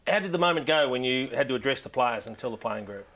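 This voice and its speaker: A man's voice: medium pitch, animated, fast, a bit bright. A man hosting a TV football panel, asking short, teasing follow-up questions. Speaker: TV panel host. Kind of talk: interview questions.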